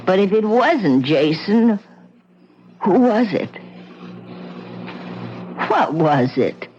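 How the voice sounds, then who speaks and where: A frail, haunted old widow. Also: female voice, medium pitch, sing-song, very slow, music in the background. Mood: uneasy. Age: older. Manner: hesitant, stammering. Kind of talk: 1970s radio drama acting. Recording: muffled old radio broadcast.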